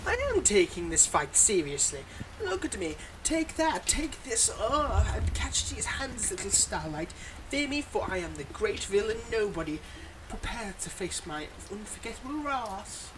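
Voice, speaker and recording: Supervillain, acted by a performer for audio roleplay: smug, mocking, playfully exasperated, mid-pitched, with clear background noise. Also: very animated, measured pace. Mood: mock menacing. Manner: mocking, dramatic, addresses an unheard hero listener. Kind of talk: scripted ASMR audio roleplay.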